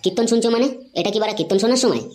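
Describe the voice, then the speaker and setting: Woman voicing a rapid-fire, hot-tempered cartoon boy, West Bengali accent. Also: fairly high pitch, lively intonation, over loud music, natural voice. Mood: indignant. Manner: brash, slangy, swearing. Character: hot-tempered foul-mouthed cartoon boy, quick to grumble. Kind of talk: comedy cartoon dialogue. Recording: clearly noisy background.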